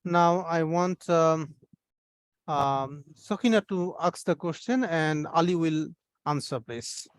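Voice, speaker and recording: A man's voice: a little high, takes it slowly, no room sound, studio quality. A man teaching an online English class, patiently correcting his students' grammar over a video call.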